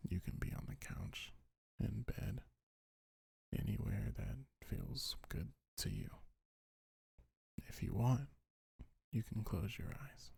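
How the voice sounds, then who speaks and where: Guy doing soothing asmr comfort talk, super husky half whisper, lots of crackly fry, right up on the mic. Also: fairly low pitch, huge pitch swings, no room sound. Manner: gentle, soothing, unhurried. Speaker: ASMR creator. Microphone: close microphone, dry.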